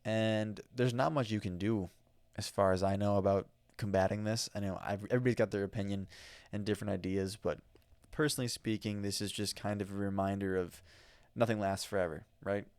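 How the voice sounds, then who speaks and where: Rambling American man, low dry voice. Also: steady tone, brisk, a little mellow, smooth, no room sound. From United States. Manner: rambling, enthusiastic, with many fillers and restarts. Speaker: podcast host. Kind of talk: casual solo podcast storytelling. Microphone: studio microphone.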